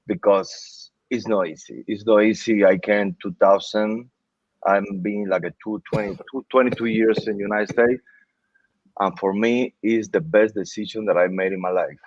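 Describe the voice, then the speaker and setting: A man from Cuba with a Cuban Spanish accent, a native Spanish speaker, speaking as an interview guest in earnest, halting English and often repeating himself. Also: lowish voice, steady tone, no room sound. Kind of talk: casual interview talk. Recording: dull, dry sound.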